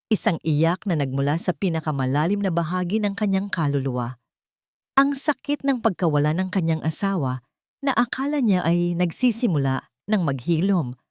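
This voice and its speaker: A Filipino woman narrates a scripted drama. Her voice sits on the low side, and the recording is dry and close, with little room sound. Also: a little mellow, dry recording. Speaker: story narrator.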